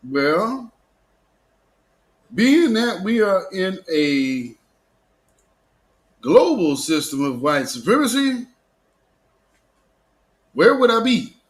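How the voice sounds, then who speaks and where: A man's voice: high-pitched, very animated, drawn-out words, pauses often. An American man hosting a live commentary show, talking in a casual, opinionated, mocking and sarcastic way. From Portland, Oregon, United States.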